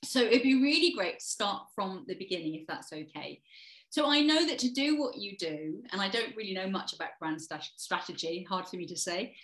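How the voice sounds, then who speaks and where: A woman's voice: mid-range voice, a bit bright, echoey room, poor audio. A woman hosting a video-call interview as a happiness coach, asking upbeat, encouraging questions. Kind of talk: interview host.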